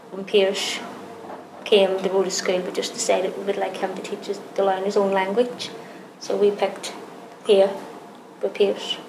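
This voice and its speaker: A woman's voice: mid-range voice, completely flat delivery, measured pace, creaky. A woman speaking plainly in an interview about her community's language, in a matter-of-fact way. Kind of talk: documentary interview. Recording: echoey room with background noise.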